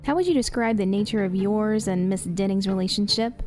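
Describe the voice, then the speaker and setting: A woman's voice: mid-range voice, brisk, a little mellow, faint music behind, lightly acted. An actress playing a female detective who questions a mayor formally and pointedly. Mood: probing. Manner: formal, probing. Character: poised female police detective. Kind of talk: audio drama acting.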